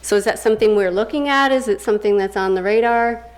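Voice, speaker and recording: A woman's voice: medium pitch, quick pace, a crowd in the background. A woman council member asking informal questions at a public meeting.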